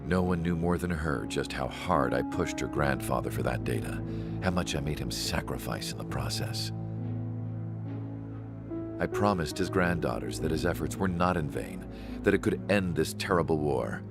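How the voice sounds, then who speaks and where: A man playing a weary, remorseful older scientist in a video game, narrating solemnly in a gravelly voice. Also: rumbling low, animated, normal speed, loud music behind the voice. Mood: regretful. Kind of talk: scripted dramatic narration.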